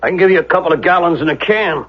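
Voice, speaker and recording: An actor playing an obliging, plain-spoken gas station attendant with clipped, folksy phrasing in a 1970s radio drama. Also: male voice, high-pitched, rapid-fire, lightly acted. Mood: helpful, easygoing. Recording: muffled old radio broadcast, background noise.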